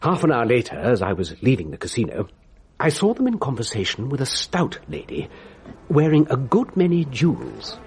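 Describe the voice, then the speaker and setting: A lively, mannered old bachelor gentleman. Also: medium pitch. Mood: storytelling. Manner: mannered, precise, theatrical pauses. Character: genteel confirmed old bachelor, fussy, admiring gentleman storyteller. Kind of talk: radio drama acting, storytelling.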